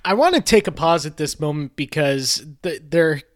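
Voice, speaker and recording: A man's voice: fairly high pitch, expressive, moderate pace, no room sound, studio quality. A young man co-hosting a podcast, musing about a movie in a rambling, hedging way. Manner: rambling, hedging, lots of "like" and "I guess". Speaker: podcast co-host. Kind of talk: casual movie discussion.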